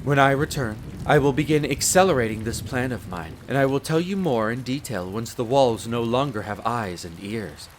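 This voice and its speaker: An actor voicing a devoted, polite wolf butler who serves as head servant, in a scripted roleplay drama. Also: male voice, mid-range voice, normal speed, hardly any pauses, natural voice. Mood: reading aloud, earnest. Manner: polite, formal, deferential. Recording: rain noise over the voice. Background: steady rain.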